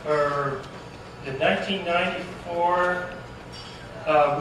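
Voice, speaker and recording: A man giving an informal acceptance speech in a halting way, pausing often mid-sentence. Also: on the high side. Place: large banquet room over microphone. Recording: echoey banquet room, distant, noisy.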